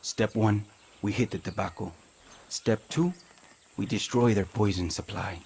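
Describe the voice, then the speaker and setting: A man's voice: lowish voice, a little slow, vocal fry, a little mellow, slight background noise. A man playing a bitter, hostile guerrilla fighter in a video game, speaking in a curt, resentful, profane way. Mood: curt orders.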